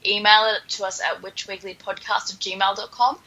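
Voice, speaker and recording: A woman with a very bright, forward voice co-hosts a podcast. She chats casually and comically, leaning into playful, mock-dramatic sarcasm. Her pitch sits in an ordinary middle range. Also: fairly even intonation, moderate pace, low-quality recording. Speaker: podcast co-host. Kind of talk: casual comedic chat.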